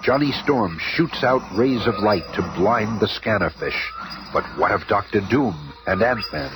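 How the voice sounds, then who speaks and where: An actor playing a dramatic narrator of a 1970s superhero radio serial. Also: male voice, fairly low pitch, animated, measured pace. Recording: very noisy old radio recording.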